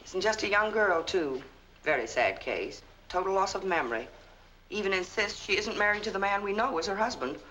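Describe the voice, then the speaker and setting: Efficient, chatty nurse, female actor, 1940s film. Rapid, polite delivery with slight soundtrack noise. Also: mid-range voice, expressive, fairly bright tone, natural voice, poor audio. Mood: soothing, courteous. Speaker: actress.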